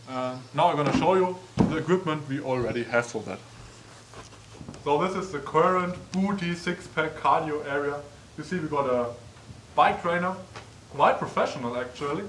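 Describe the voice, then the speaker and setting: A young man giving a casual, chatty walkthrough tour of an old wooden barn, with lots of ums, recorded on a camera mic from a distance. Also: on the high side. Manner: chatty, frequent ums, gestures while walking. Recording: echoey barn, distant mic, faint noise.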